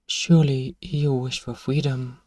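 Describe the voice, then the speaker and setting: A man playing a powerful, manipulative elder vampire in an ASMR roleplay, speaking in a hushed, taunting and condescending way. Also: medium pitch, level tone, no room sound, distant mic, lightly acted. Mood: coaxing, falsely sympathetic. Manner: slow, hushed, taunting, condescending, lapses into whispers. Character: powerful elder male vampire, suave, menacing, manipulative seducer.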